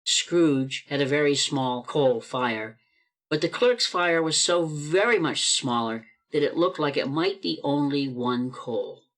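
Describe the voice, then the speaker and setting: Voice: medium pitch, a bit bright. Theatrical narrator with emphatic phrasing. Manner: deliberate, emphatic, dramatic phrasing. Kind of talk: theatrical storybook narration for a radio play. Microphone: video call microphone.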